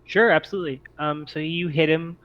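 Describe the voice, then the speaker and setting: A man's voice: fairly high pitch, steady tone, a little fast, dull audio. A man acting as game master, narrating and refereeing a tabletop roleplaying game.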